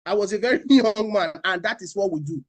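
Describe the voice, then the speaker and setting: A man's voice: high voice, fairly quick, fairly bright tone, no echo. Man explaining money matters. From Nigeria. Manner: repeats key phrases, poses rhetorical questions. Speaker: financial panelist. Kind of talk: explaining finance on a panel talk show.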